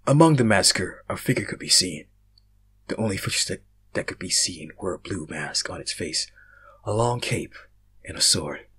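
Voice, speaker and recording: A young man reading fan fiction aloud, casual in his chat and theatrical in his narration, swinging between hushed and loud. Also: low-pitched, lively intonation, normal speed, husky. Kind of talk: casual intro and dramatic story narration.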